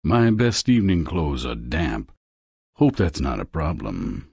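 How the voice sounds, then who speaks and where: A man's voice: very deep voice, very animated, a little slow, creaky, a little mellow, husky, no echo, studio quality, heavily acted. A man voicing a suave, wheedling, theatrical frog who is secretly a king, in a fairy-tale video game. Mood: smug, wheedling. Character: smug, courtly male frog, secretly a king.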